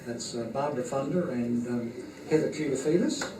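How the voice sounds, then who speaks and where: A man's voice: medium pitch, a little fast, heavy background noise. A man giving a chairman's speech at an evening party, rambling and hesitant with many fillers. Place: function room at an evening party. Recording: echoey room, far-off mic.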